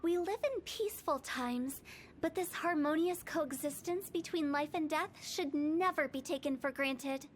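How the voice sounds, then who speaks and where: A woman's voice: high voice, a little slow, a little hiss or hum. A woman voicing a playful, mischievous young funeral parlor director who tells old tales in a game. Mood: solemn. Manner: teasing, then solemn storytelling. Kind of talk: game cutscene voice acting. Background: game music.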